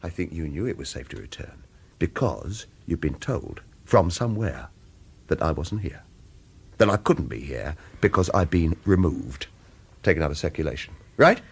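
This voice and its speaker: An actor in a radio drama playing a wry, suspicious retired killer who needles with clipped, repeated questions. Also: male voice, sits low, sing-song, moderate pace, gravelly, husky, heavily acted. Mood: accusing.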